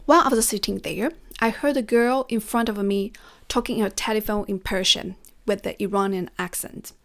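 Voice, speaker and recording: A woman's voice: medium pitch, fairly even intonation, brisk, a bit bright. A woman whose first language is Chinese, talking straight to her viewers in an earnest, encouraging way about learning languages. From China. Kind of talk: personal vlog monologue.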